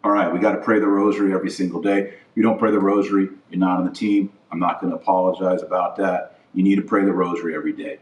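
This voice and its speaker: A man's voice: low voice, flat delivery, quick pace, few pauses, highs cut off. A man hosting a religious podcast, delivering an impassioned opinion monologue in a blunt, emphatic, repetitive way. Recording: roomy echo, distant from mic.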